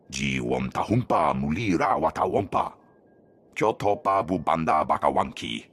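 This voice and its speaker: A man voicing an alien elder in a video game, speaking an invented tongue in a measured, swooping way. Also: extremely low pitch, fairly bright tone, full character voice.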